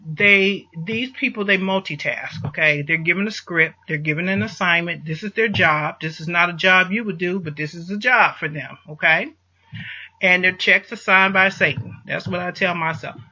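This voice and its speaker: A man's voice: sits high, sharp tone. A man giving an informal, emphatic explanatory monologue to listeners, repeating his points and often checking in, with some distortion on the voice. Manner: emphatic, repetitive, punctuates points with check-in words. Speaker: host of an online advice video. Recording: dull lo-fi recording, voice distortion.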